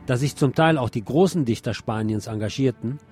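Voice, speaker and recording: A man giving a scripted literary talk and reciting poetry in a measured, deliberate, declamatory way, like a radio presenter. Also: mid-range voice, music in the background.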